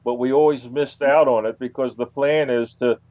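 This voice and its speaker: A man speaking as an interview guest, recounting anecdotes casually in a halting, hesitant way with long pauses. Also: mid-range voice, fairly even intonation, a little mellow.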